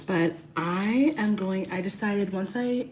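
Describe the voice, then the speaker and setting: A woman in her thirties, hoarse and raspy from losing her voice, chatting casually to livestream viewers during a nail tutorial and greeting them by name. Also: mid-range voice, takes it slowly, a little mellow, a little hiss or hum. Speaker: beauty livestream host.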